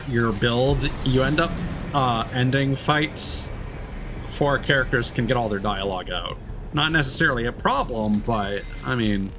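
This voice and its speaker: A man livestreaming a video game, chatting casually in a musing, discursive way. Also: mid-range voice. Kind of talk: casual game stream commentary.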